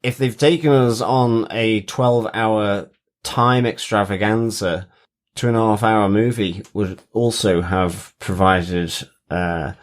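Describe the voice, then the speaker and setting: A man's voice: on the low side, fairly even intonation, slow pace. A man co-hosting a podcast, chatting casually and thinking aloud as he goes. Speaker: podcast co-host. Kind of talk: casual chat about television.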